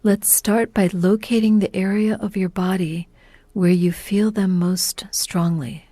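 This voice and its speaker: A woman leading a guided meditation in a soft, breathy, half-whispered voice, calm and gentle, with unhurried pauses. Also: medium pitch, no echo. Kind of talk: guided meditation, scripted narration.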